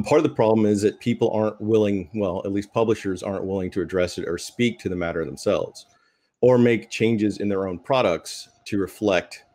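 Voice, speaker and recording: Male panelist, middle-aged, slightly deep voice, earnest and measured. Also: a little mellow. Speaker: panelist, game creator. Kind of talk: panel discussion, earnest opinion. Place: room at home, on a video call. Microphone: video call microphone.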